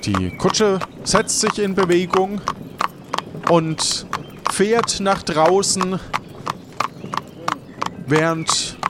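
A man narrating as game master of an improvised adventure audio play, with dramatic, drawn-out delivery. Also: sits high, expressive. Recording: noisy sound-effect ambience behind voice.

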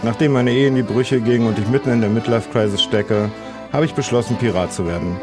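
A man's voice: mid-range voice, fast talker, over music, lightly acted. A man playing an eager, green middle-aged craftsman who wants to be a pirate, in an improvised audio adventure with hesitant delivery. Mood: determined.

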